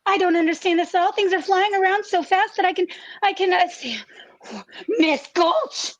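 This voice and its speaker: A woman playing an anxious, earnest farm girl in a comedic radio play, speaking in breathless, halting, repeated phrases. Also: very high voice, lo-fi sound. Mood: frightened, breathless.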